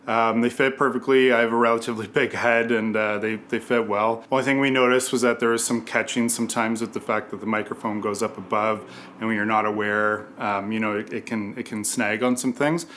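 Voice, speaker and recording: Casual low-voiced man giving a testimonial. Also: level tone, fairly quick, fairly bright tone, slight background noise. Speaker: film crew member giving a testimonial.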